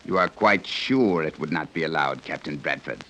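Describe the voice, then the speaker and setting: An actor playing a stern, formal guide from a hidden advanced civilization in a 1930s radio serial, explaining things precisely. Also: male voice, a bass voice, lively intonation, moderate pace, pushed and bright, full character voice. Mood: coldly warning. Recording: noisy old 1930s radio recording.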